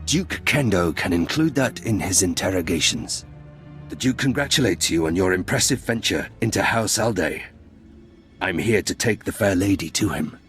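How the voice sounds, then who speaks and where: Deep-voiced, formal henchman. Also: lively intonation, a bit bright, soft background music. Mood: coolly insistent. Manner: polite, measured, formal. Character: smooth-talking, formal henchman of a duke. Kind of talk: video game character acting.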